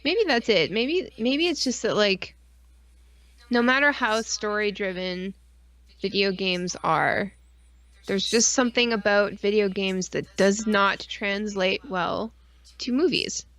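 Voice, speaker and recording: A woman's voice: mid-range voice, slow, fairly bright tone, dry recording. A woman co-hosting a podcast live stream in casual, informal chat with plenty of swearing.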